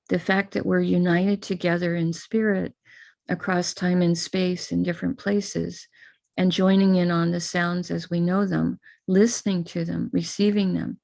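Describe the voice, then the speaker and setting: An American woman teacher leading a live online prayer ritual over a video call, speaking calmly and instructively between slow chanted invocations. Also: fairly low pitch, vocal fry.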